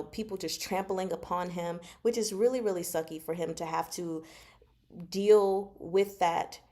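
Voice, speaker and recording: A woman giving a casual, chatty book recap as a host, expressive and emphatic, often checking in with the listener. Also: fairly low pitch. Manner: expressive, conversational, emphatic, often checks in with "okay?" and "you know". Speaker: host of a book discussion vlog.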